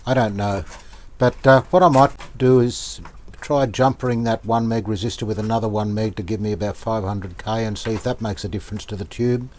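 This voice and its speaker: A man talks through a hands-on repair in a low, mellow voice. He sounds casual and tentative, working things out as he goes, with some background noise around him. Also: fairly even intonation, a little fast. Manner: thinking aloud, tentative. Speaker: hobbyist radio restorer presenting a repair video.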